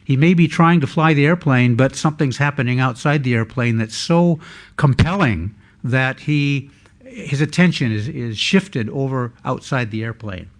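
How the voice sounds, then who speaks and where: An older man delivers a research talk to a workshop in a mid-pitched voice. He speaks in a measured, hesitant way and often pauses as he works through his slides. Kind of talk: conference presentation with slides.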